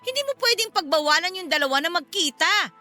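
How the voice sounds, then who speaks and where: A defensive, protective middle-aged Filipino mother in a radio drama. The voice is very high and swoops expressively, sassy in manner, with light music underneath. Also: lively intonation, quick pace, sharp tone, full character voice. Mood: firm, defiant.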